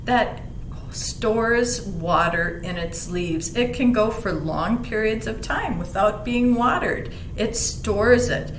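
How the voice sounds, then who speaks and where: Slow-paced woman reading aloud in an echoey room. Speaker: library story reader. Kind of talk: read-aloud children's lesson with explanations. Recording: roomy echo, faint rumbling background noise.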